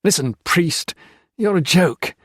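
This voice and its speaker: A man reading a story voices a young officer who rants and shouts in hysterical fury. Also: high-pitched, expressive, slow, husky, no echo, clean studio sound, pitched above the performer's own voice, heavily acted. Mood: contemptuous. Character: young British officer, feverish, furious, ranting.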